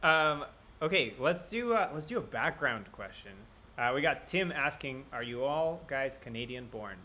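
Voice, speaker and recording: Young male host, bright tense tone, reads fan questions, laughs a lot. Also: medium pitch, expressive, moderate pace. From Russia and Israel. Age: 20s. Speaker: band member moderating a live Q&A. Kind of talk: casual livestream chat.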